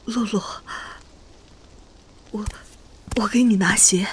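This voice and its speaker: An actress playing a middle-aged mother in a Chinese audio drama, halting, tentative and eager to please. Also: medium pitch, steady tone, a little hiss or hum, close to an everyday voice. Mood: anxious, welcoming. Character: hesitant, eager-to-please mother of grown son.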